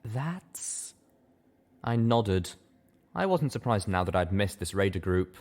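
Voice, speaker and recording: A man's voice: low-pitched, lively intonation, normal speed, a little mellow, a little hiss or hum. A man narrating a scripted adventure story in a dramatic reading style.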